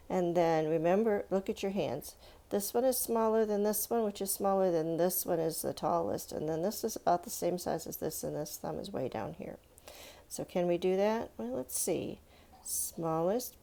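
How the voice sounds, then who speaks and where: Woman, children's art teacher: low, very mellow voice with some gravel, informal, encouraging, talks through each step. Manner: encouraging, thinking aloud, addressing young viewers with "let's" and check-ins. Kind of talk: step-by-step drawing lesson, informal and conversational.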